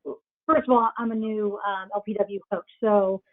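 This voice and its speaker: A woman's voice: medium pitch, steady tone, unhurried, no echo. A woman calling in as a new business coach, chatty and slightly hesitant, with many ums. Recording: tinny phone-like line.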